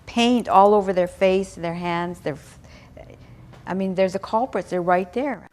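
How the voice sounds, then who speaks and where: A woman's voice: medium pitch, a little slow, darkish tone. A woman speaking emphatically and earnestly in a news interview clip as the director of a community ministry.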